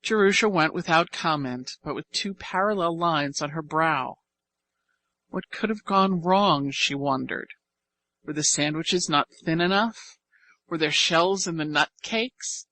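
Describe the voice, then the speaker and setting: A woman reading scripted audiobook fiction as narrator, with expressive, deliberate phrasing. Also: on the low side, dry recording.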